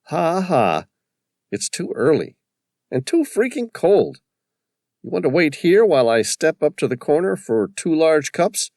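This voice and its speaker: A man's voice: a little high, sing-song, a little slow, creaky, darkish tone, no room sound, clean studio sound, pitched above the performer's own voice, exaggerated voice. A male narrator voicing an easygoing, joking male paramedic in a storybook reading.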